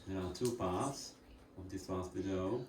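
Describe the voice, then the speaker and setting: A man introduces the next part of a church gathering in a plain, unhurried way with small fillers. His voice sits a bit low and comes from a distance in a reverberant hall with faint ambience. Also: background noise. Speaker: church presenter introducing videos. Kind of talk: casual presentation to a congregation.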